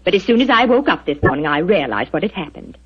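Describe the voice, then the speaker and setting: An actress playing a gentle, quick-witted wife, modest and polite, in a 1940s radio drama. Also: female voice, fairly low pitch, big pitch swings, husky. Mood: matter-of-fact. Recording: dull 1940s radio recording.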